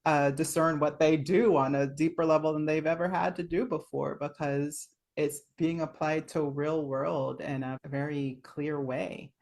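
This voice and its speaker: A woman's voice: low voice, fairly even intonation, unhurried, hardly any pauses, a little mellow. A woman hosting a casual interview, speaking effusively in long, meandering, conversational phrases. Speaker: summit host, interviewer.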